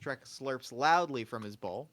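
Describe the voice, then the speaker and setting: A man's voice: medium pitch, expressive, unhurried, fairly bright tone. A man narrating scripted stage directions at a comedic table read.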